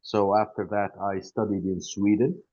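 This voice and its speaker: A middle-aged man from Iraq with an Iraqi accent, introducing himself haltingly as he searches for English words. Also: fairly low pitch, little pitch movement, darkish tone. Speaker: family business representative. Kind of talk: self-introduction at networking meetup. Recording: dull, lo-fi call audio.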